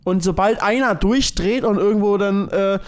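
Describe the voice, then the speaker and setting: A man's voice: sits high, moderate pace, darkish tone. A man in casual podcast chat as a co-host, explaining and giving opinions in colloquial language.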